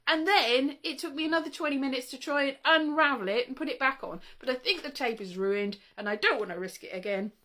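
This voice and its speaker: Woman vlogger rambling to camera, pretty high bright voice, chatty and a bit wry, keeps interrupting herself. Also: fairly quick, hardly any pauses. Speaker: vlogger showing old interview tapes. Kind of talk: casual rambling monologue to camera.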